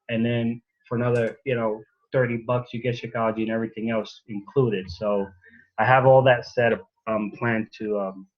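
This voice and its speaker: A man who coaches fitness, sharing casually on a video call, halting with many ums and uhs. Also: medium pitch. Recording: dull lo-fi call audio.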